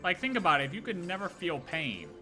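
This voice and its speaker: A man's voice: high voice, big pitch swings, fast talker, bright, forceful tone, faint music behind. A man streaming a game live, reacting in a casual, joking way and swearing freely.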